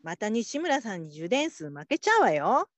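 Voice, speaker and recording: Woman acting a lively, swooping team leader. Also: medium pitch, normal speed, no echo, lightly acted. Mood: brisk, encouraging. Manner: chivvying, upbeat. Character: brisk, encouraging female team leader. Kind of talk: radio drama reading.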